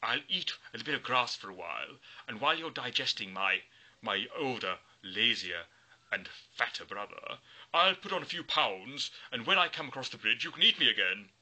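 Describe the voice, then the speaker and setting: A man's voice: medium pitch, sing-song, normal speed, bright, forceful tone, exaggerated voice, low-quality recording. A man voicing a sly middle billy goat who wheedles and stammers as he bargains. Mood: wheedling.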